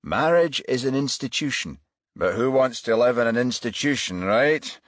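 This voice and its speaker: A man voicing a composed, courteous male detective inspector in a crime audiobook, measured and businesslike. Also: mid-range voice, expressive, a bit bright, dry recording, clean studio sound, pitched above the performer's own voice, natural voice. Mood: wry.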